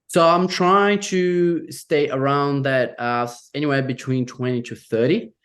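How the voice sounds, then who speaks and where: A man's voice: medium pitch, fairly even intonation, fairly bright tone. A young man from Brazil with a Brazilian Portuguese accent, a real estate agent telling his story in an interview, eager and full of fillers. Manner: eager, lots of fillers, quick bursts. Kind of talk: interview guest, role-played sales call.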